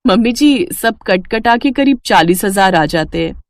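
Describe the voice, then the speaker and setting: A woman's voice: mid-range voice, animated, a little slow, no room sound, clean studio sound. A woman voicing a dutiful young working daughter-in-law, respectful and earnest, in a family moral story. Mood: polite, matter-of-fact.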